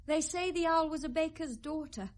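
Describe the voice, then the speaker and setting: A woman's voice: sits high, normal speed, close to an everyday voice. A woman playing a grief-maddened young noblewoman, distracted and drifting between speech and song, in a Shakespeare radio play. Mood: distracted, polite. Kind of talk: 1970s radio Shakespeare acting, half sung.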